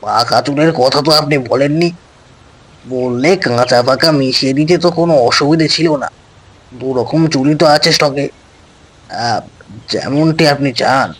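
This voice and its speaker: Man playing a husky, puzzled shopkeeper. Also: medium pitch, measured pace. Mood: surprised, obliging. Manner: obliging, colloquial, surprised. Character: puzzled, deferential male doll-maker shopkeeper.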